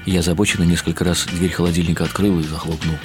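A male actor as a world-weary writer narrating a radio drama. His voice is very low, his delivery ironic and musing, a little self-mocking. Music plays clearly behind him. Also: flat delivery, rapid-fire, lightly acted. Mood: wry, musing. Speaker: narrator and lead character.

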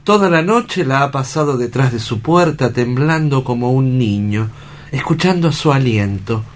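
A kindly doctor played by a man in radio theatre, with a mid-pitched voice. He speaks courteously and attentively, as if to a patient in his care. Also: expressive, moderate pace, natural voice. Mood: concerned. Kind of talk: radio theatre dramatic reading.

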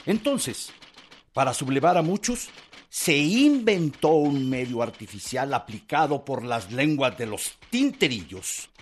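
A man's voice: mid-range voice, expressive, measured pace, a little hiss or hum, close to an everyday voice. An actor playing a formal, scornful man reading out an old newspaper in a declamatory way for a radio drama.

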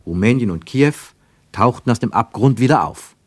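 A man narrating a scripted radio drama in a measured, literary and dramatic manner. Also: mid-range voice, lively intonation, no echo.

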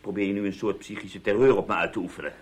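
A man's voice: lowish voice, fast, close to an everyday voice. A man playing a sarcastic, cynical husband in an audio drama, talking down to his wife with condescending endearments. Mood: sneering.

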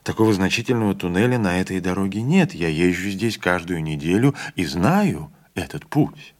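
A man voicing an impatient young student passenger who talks insistently and quickly. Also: low voice, expressive.

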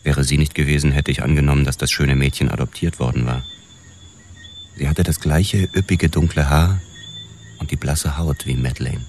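A world-weary detective with an extremely low voice tells his story in a radio drama. He is measured and deadpan, in hardboiled style, over faint background noise.

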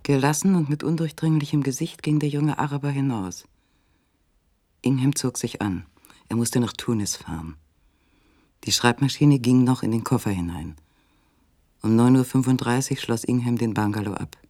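A man narrating a literary suspense radio play in a measured, controlled manner. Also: mid-range voice, a little mellow, dry recording, studio quality, natural voice. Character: third-person narrator of a literary suspense story. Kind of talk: scripted radio drama narration.

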